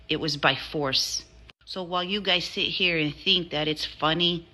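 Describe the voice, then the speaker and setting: A woman's voice: deep voice, pushed and bright. A woman making an impassioned spoken statement in a firm, emphatic, indignant way. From Southwest United States or Mexico.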